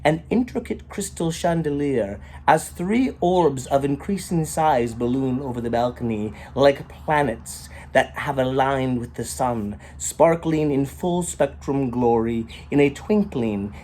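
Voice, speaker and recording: A man reading a short story as its storyteller, in a theatrical, rhythmic manner with drawn-out emphasis and rhyming phrases. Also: mid-range voice, expressive, darkish tone, a little hiss or hum. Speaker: storyteller, author reading own work.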